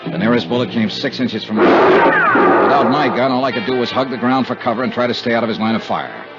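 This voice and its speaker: A man's voice: mid-range voice, lively intonation, fairly quick, lightly acted. An actor playing a tough, weary insurance investigator who narrates his case tersely in 1950s radio drama style. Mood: grim, tense. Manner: terse, hard-boiled. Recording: dull 1950s radio recording with music.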